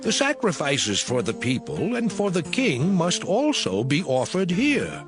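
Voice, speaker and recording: An actor playing a commanding king of Judah giving deliberate, authoritative orders in a dramatized audio Bible. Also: on the high side, huge pitch swings. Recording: music under the voice.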